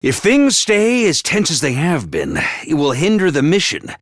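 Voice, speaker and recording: A man voicing a small, bossy male robot commander in a video game, theatrical and self-important, prone to shouting. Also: wildly swooping pitch, normal speed, dry recording, studio quality. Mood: pompous. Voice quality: squeaky, over-the-top. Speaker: voice actor. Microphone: dry studio mic.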